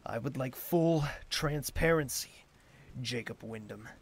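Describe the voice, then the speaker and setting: A man's voice: medium pitch, takes it slowly. A man playing a haughty, wounded royal ruler in an audio drama, speaking formally and imperiously. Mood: hurt, indignant.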